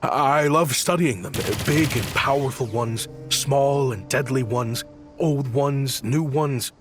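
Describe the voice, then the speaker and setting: An earnest, obsessive young male scholar in exile, played by a male actor for a video game. He speaks with dramatic intent at a middling pitch, and music plays behind his voice. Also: slow, natural voice. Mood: eager, earnest. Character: exiled weapons researcher, earnest, eager, obsessive young man. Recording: studio voice over prominent music.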